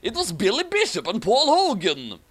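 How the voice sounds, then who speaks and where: A man reading a story aloud in an animated, dramatic way with joking commentary. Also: high voice, normal speed. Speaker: host reading fan fiction aloud.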